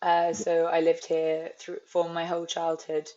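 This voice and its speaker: A young woman with a London accent talks through her writing life in an unhurried, reflective way, circling back and restarting her thoughts. Her voice sits on the lower side, and the recording is a little dull and lo-fi. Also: monotone, mellow. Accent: London English. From London, England. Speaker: author guest. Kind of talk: reflective interview talk. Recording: slightly dull, lo-fi audio.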